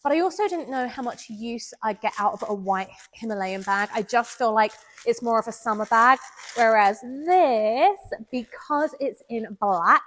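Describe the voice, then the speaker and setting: A woman's voice: on the high side, lively intonation, normal speed, a bit bright. A woman with a head cold, sounding nasal, chatting enthusiastically through a handbag review. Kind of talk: casual product review vlog.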